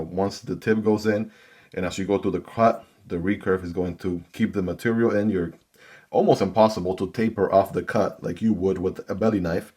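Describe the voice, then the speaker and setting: A man's voice: deep voice, a little mellow, slightly rough. A man hosting his own gear channel, chatting casually to viewers as he unboxes and reviews a knife. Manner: chatty, talks straight to viewers.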